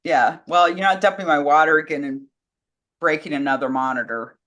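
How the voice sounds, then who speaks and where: Female co-host, bright and crisp-edged voice, informal unscripted chat. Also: sits low, steady tone, brisk. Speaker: co-host, communications director fielding questions. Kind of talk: casual chat.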